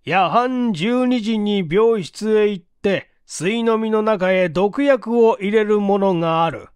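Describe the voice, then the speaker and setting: A man's voice: high-pitched, slow, darkish tone, clear, resonant tone, no room sound, pitched above the performer's own voice. A man voicing a grave, authoritative police chief in a mystery story, solemn, formal and probing. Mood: accusing, stern.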